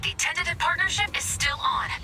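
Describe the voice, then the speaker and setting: A woman voicing a haughty, sardonic female robot in a video game, deadpan and condescending. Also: very high voice, fairly quick, over music. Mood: dry. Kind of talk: video game character dialogue.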